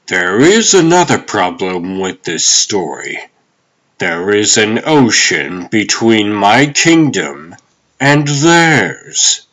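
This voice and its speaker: A man's voice: lowish voice, very animated, takes it slowly, a bit bright, heavily acted, poor audio. A man voicing a smug, sardonic villain baron in a comic dub, drawling and dismissive in a theatrical way. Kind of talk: comic dub character acting.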